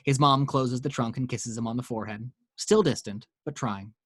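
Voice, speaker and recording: A man's voice: medium pitch, normal speed, fairly bright tone, dry recording, studio quality. A man reading action descriptions as narrator in a dramatic screenplay table read. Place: at home.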